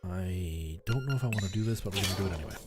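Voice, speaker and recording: A man's voice: low voice, rapid-fire, loud music behind the voice. A man chatting casually and informally on a live game stream, rambling in asides to his viewers and giving tips.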